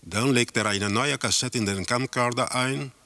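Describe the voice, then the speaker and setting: An actor playing a detached narrator in a radio drama, describing eerie scenes in a measured, matter-of-fact way. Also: male voice, mid-range voice, sharp tone, no echo.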